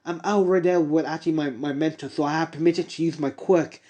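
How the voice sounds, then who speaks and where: A man voicing a kind young heroine in training who calmly reassures a frightened woman. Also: a little high, fast, close to an everyday voice. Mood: reassuring. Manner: calm, reassuring.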